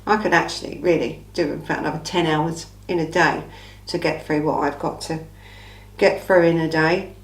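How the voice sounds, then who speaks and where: A woman from England hosting a casual true crime Q&A, her voice croaky from a cold, talking in a blunt, chatty, opinionated way. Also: low voice, steady tone, moderate pace, echoey room, distant mic. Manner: chatty, blunt, opinionated, says "listen" often. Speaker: true crime channel host. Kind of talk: casual Q&A monologue.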